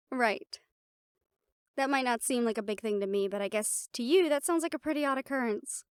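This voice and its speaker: A woman's voice: on the high side, normal speed, long pauses, clean tone, no room sound, clean studio sound, lightly acted. A woman playing a confident, playful siren-banshee warrior in a conversational audio roleplay, talking intimately to the listener. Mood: teasing, reassuring.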